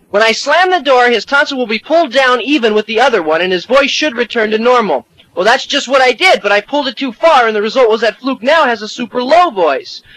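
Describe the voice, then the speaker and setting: A man hosting a comedy audio skit, speaking in a very high, excitable, storytelling voice. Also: rough recording. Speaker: skit host.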